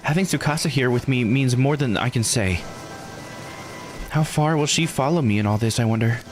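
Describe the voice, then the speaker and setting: A man's voice: medium pitch, normal speed, over music, pitched above the performer's own voice, close to an everyday voice. A man voicing an earnest, curious young woman who is the polite heroine of a visual novel, speaking expressively. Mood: reflective. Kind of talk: visual novel character read aloud.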